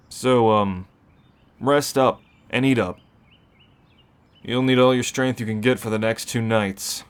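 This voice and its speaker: A man playing a weary, protective werewolf who keeps a refuge, speaking in a measured, earnest way for an ASMR roleplay. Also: mid-range voice, clear, resonant tone, lightly acted.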